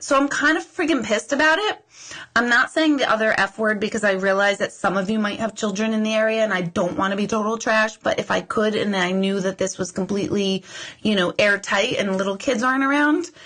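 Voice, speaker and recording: High, bright-voiced chatty woman in her forties. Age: 40s. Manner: chatty and rambling, quick asides and mock outrage. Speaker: intuitive medium hosting a live Q&A. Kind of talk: casual livestream chat with viewers.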